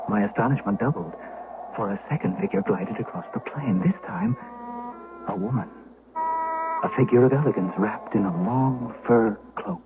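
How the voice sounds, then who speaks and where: An actor playing an educated former shepherd, haunted, gravely and deliberately recounting his youth in a radio drama. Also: lowish voice, background noise, close to an everyday voice. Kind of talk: 1970s radio drama narration. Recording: muffled vintage radio recording, music behind.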